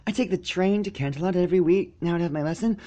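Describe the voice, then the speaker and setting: A man voicing an excitable young girl unicorn pony, cheerful and gushing, in a storybook reading. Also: high voice, quick pace, highs cut off, voice pushed up, lightly acted. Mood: thrilled. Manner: giddy, gushing.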